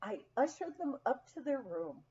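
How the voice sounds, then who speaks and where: A woman reading a humorous memoir aloud as scripted narration, in an expressive, storytelling manner. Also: high voice, dull audio. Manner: expressive, unhurried storytelling with acted quotes. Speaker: audiobook reader.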